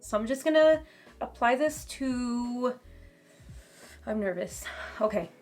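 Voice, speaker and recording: Slightly raspy woman chatting through makeup. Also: on the high side, animated, slow, darkish tone, soft background music. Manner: chatty, casual commentary while applying makeup. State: raspy voice from talking too much. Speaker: beauty vlogger. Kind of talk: makeup try-on and review. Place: home beauty room, indoors.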